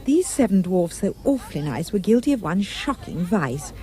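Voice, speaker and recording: A woman's voice: lowish voice, big pitch swings, moderate pace, warm tone. A woman narrating a rhyming storybook tale for a puppet show with dramatic, emphatic delivery.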